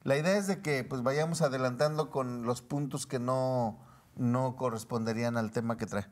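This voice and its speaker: A man's voice: mid-range voice, fairly even intonation, moderate pace. A man with a Mexican accent speaking as a commentator on a panel talk show, explaining legal terms step by step in a didactic way.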